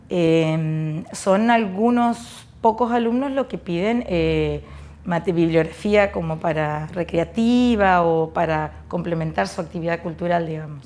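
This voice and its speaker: An Argentine-accented woman with a slightly low voice gives an informal interview about her work. She explains haltingly, padding her sentences with filler phrases. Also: a little mellow. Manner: halting, leans on "digamos". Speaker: library staff interviewee.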